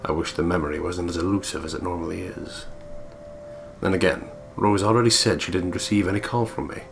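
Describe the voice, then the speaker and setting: Deep-voiced man reading a story aloud. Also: steady tone, vocal fry, slight background noise. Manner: deliberate, storyteller-like, playful putting on of voices. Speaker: gaming video co-player reading the text aloud. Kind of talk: dramatic read-aloud narration of a visual novel. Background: game music.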